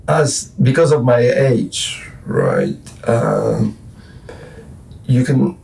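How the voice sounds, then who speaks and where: A man's voice: mid-range voice, wildly swooping pitch, extremely slow, vocal fry, darkish tone, distant mic, poor audio. A Polish man in his forties with a Polish accent, rambling and restarting as a guest in a casual late-night interview. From Poland. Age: 40s. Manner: rambling, hesitant, many restarts and fillers. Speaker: guest musician.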